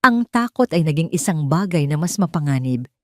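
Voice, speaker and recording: Low-voiced woman narrating, dry close sound. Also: lively intonation, a little fast, a bit bright, dry recording. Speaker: storyteller. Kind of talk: scripted dramatic narration of a love story.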